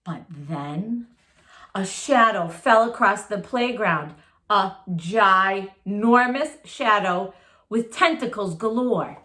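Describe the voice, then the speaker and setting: A woman's voice: mid-range voice, lively intonation, takes it slowly, bright, forceful tone, reverberant. A woman reading a children's storybook aloud like a teacher, expressively, talking directly to her young listeners.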